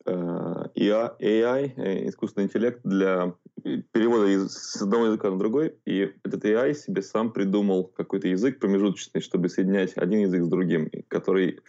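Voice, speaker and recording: A man with a low, dark, mellow voice chats as a podcast co-host. He is hesitant, often stopping to find the word. The recording is slightly dull and muffled. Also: steady tone. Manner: halting, hesitant, searching for words. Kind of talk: casual conversation.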